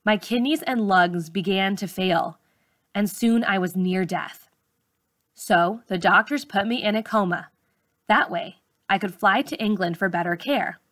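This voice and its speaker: A woman reading a memoir aloud like an audiobook narrator, with measured, clearly phrased delivery. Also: mid-range voice, a bit bright, no room sound, studio quality. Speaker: teacher reading a book aloud.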